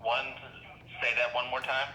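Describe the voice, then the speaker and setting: A man handles an official call as a police officer, blunt and procedural. His mid-pitched voice is very bright and hard-edged, coming through an echoey telephone line with faint noise. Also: flat delivery, measured pace. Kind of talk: official phone conversation. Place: recorded phone call.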